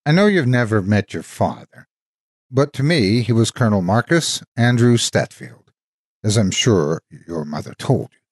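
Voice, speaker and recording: A man narrating a dramatic story as a devoted, wistful ghostly guardian, an old soldier reminiscing tenderly in a husky, folksy way. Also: fairly low pitch, darkish tone, no room sound, studio quality. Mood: solemn, fond. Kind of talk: dramatic first-person horror storytime narration.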